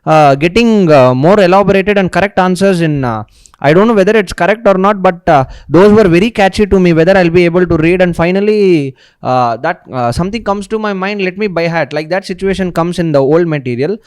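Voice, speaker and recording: A young man from India speaks earnestly and respectfully, often pausing on fillers and adding "sir". His voice sits a little high and sounds smooth and resonant. The sound is dry and close. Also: no echo. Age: young adult. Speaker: student interviewee. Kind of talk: interview answers.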